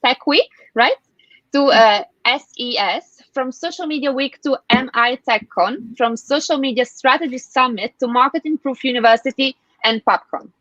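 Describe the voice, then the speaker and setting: Higher-pitched woman hosting, dull dry sound. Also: normal speed, a bit bright, no room sound. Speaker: host, interviewer. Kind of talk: live online interview, scripted introduction and questions.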